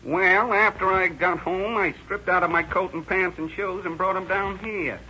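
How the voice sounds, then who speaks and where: High, piercing young jailbird. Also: male voice, fairly even intonation, moderate pace, sharp tone. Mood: eager, explaining. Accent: American. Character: sullen, gullible young male jailed criminal. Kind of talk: 1930s radio acting. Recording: muffled, noisy 1930s radio broadcast.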